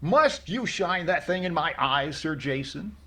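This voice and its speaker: An actor playing a fussy, vain radio comedian with measured comic delivery and dry punchlines in an old-time radio show. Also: male voice, a little high, lively intonation, bright, forceful tone, heavily acted. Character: fussy, vain radio comedian host, narrating as accused man.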